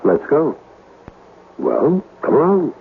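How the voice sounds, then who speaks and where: An actor playing a bold, skeptical investigator, questioning and expressive, in a 1970s radio mystery. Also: male voice, on the high side, drawn-out words, creaky, heavily acted. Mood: wary, bold. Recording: tinny, noisy 1970s radio recording.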